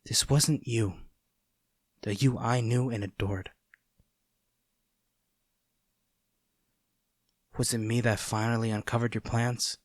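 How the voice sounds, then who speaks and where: A man playing a smug, taunting agent facing a former childhood friend, speaking in a measured, theatrical way in a scripted audio roleplay. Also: mid-range voice, steady tone, dry recording, studio quality, natural voice. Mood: bitter, wistful. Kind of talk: dramatic scripted roleplay monologue.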